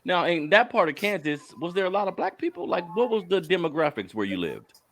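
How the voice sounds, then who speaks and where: A young man hosting a podcast, hyping up his listeners with slangy, energetic talk before interviewing a guest. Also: sits high, brisk. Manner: hyped, calls listeners family, slangy. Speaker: podcast host.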